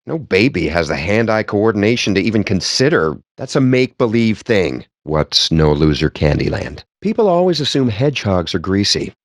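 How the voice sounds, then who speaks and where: Verbose gamer geek, wry, theatrical, swooping intonation, close dry sound, video game character. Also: male voice, low-pitched, no echo. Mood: earnest, grandiose. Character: wry, foul-mouthed, pompous gamer geek at a poker table.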